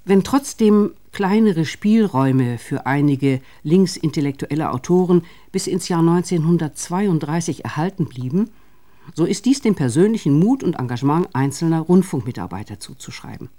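A man reading a scripted radio documentary in a measured, formal manner. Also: fairly high pitch, animated, mellow. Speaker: narrator of a radio feature.

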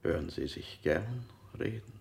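A man's voice: deep voice, animated, unhurried, vocal fry, darkish tone, heavily acted. An actor playing a cold male interrogator in a radio drama, firing unsettling questions with sudden leaps in his voice. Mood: probing.

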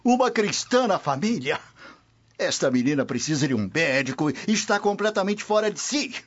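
A man's voice: a little high, very animated, moderate pace. A man playing a haughty, intolerant older Roman patriarch in a radio drama. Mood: appalled. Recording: dull lo-fi audio over faint music.

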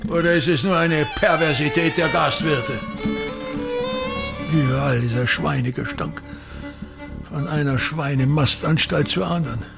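An actor playing a pompous aging state actor in a radio play, declaiming and grumbling bitterly, swinging between mutters and outbursts. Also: male voice, on the high side, creaky. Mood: disgusted, gloomy. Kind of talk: theatrical radio-play monologue.

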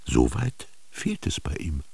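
An actor in a German radio drama playing a commanding, brooding male master who declaims bitter words haltingly, with whispered emphasis, in a gravelly, husky voice. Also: very deep voice, wildly swooping pitch, mellow, no echo, exaggerated voice. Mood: bitter, declaiming.

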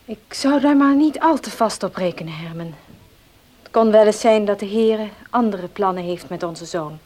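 Female actor as devout farmer's wife, radio play, medium pitch, breathy husky voice, gentle, earnest. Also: moderate pace, close to an everyday voice. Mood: concerned. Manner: soft-spoken, earnest, pious. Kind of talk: Dutch radio play acting.

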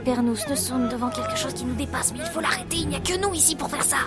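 A woman's voice: high voice, wildly swooping pitch, quick pace. A woman playing a bold, cool-headed, teasing adventurer in a French audio drama, with a resolute, conspiratorial manner. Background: dramatic music.

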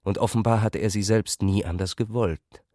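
A man reading literary scripted narration in a radio drama, measured and reflective. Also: fairly low pitch, fairly even intonation, warm tone, no echo, clean studio sound, natural voice.

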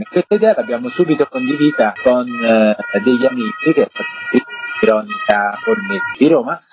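A man's voice: on the low side, breathy edge, no room sound. A man, a festival organiser explaining his project as an interview guest. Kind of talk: spoken interview, telling how a project began. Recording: dull, band-limited radio call.

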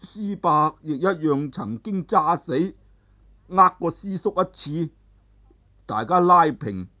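A mild, earnest young monk, as a male storyteller voices him speaks politely and tries to placate. He talks slowly in a plain voice. A figure from a traditional told story. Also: on the high side, animated, sharp tone, full character voice. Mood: placating.

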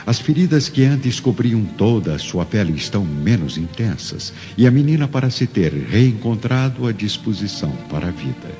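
A man narrates a radio drama in a deep voice with a Brazilian accent. His scripted, dramatic delivery rings with long reverb over soft music. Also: sing-song, moderate pace, few pauses. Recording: hall reverb, dull audio, music underneath.

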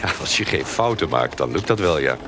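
An actor playing a gruff, devoted, roguish trader in a Dutch radio drama, terse and plain-spoken. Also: male voice, very deep voice, lively intonation, a little fast. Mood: candid. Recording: clear background ambience noise.

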